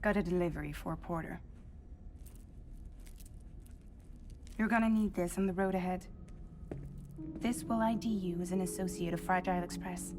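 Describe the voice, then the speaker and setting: An actress playing a guarded, weary woman courier in a video game cutscene, with measured, deliberate phrasing. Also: fairly low pitch, noisy background, close to an everyday voice. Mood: cool, deliberate. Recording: music playing behind the voice.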